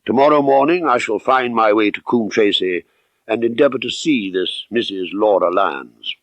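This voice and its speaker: A man reading first-person literary narration as an audiobook narrator, measured, expressive and dramatic. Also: on the low side, dry recording.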